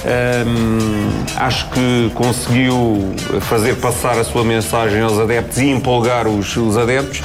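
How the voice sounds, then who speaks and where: A man with a European Portuguese accent commenting on football in a panel discussion, halting and often restarting his phrases. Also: mid-range voice, little pitch movement, over music.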